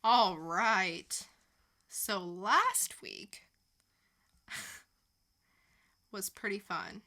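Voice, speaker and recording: Bright-voiced, unhurried woman on a podcast. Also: medium pitch. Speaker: podcast co-host. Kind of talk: casual banter and quiz chat.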